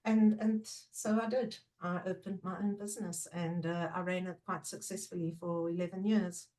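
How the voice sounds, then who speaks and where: A middle-aged woman with a lowish voice, speaking English as a second language after Portuguese. She talks in a thoughtful, measured way, pausing with fillers as she gathers her points. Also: little pitch movement, mellow. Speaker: guest, trainer and former teacher. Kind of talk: reflective podcast conversation.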